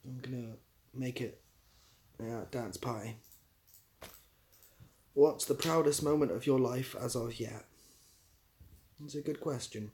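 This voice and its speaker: A man's voice: mid-range voice, slow pace, long pauses, a little mellow. A British-accented man chatting casually as a livestream host, answering viewers in a dry, easygoing, self-deprecating way. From Britain.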